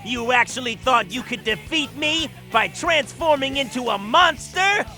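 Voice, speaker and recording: Cocky, high-pitched game hero. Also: male voice, expressive, a little slow, soft background music, heavily acted. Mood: scornful. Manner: taunting, forceful. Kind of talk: cartoonish video game voice acting.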